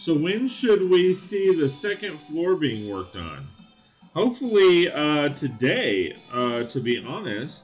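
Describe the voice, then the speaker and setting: A man hosting a casual live stream, thinking aloud with lots of fillers and greeting viewers, his voice slightly raspy. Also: a little high, big pitch swings, unhurried, dull audio, soft background music. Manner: friendly greetings to chat viewers. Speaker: livestream host. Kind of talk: casual live-stream chat while building.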